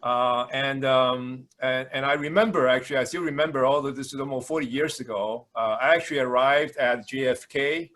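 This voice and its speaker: Halting older man with a Taiwanese accent. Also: mid-range voice, fairly even intonation, fairly bright tone. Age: 60s. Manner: halting, frequent hesitations and repetitions. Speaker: university administrator introducing himself. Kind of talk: informal introductory speech. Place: video call.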